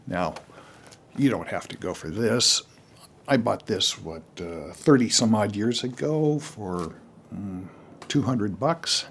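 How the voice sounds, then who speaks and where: Older male hobbyist, deep and slow, explaining things casually and haltingly. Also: expressive, a bit bright, slightly rough. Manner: halting, rambling, with frequent pauses. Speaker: amateur radio hobbyist giving advice to beginners. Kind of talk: casual unscripted how-to talk.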